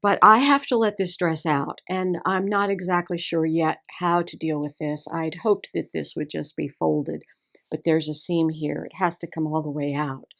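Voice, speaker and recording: A woman's voice: low voice, fairly even intonation, moderate pace, vocal fry, a bit bright. A woman with a Texas accent casually walking through a sewing alteration, admiring and carefully explaining details as she handles a dress. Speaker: seamstress host. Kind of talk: casual show-and-tell sewing video.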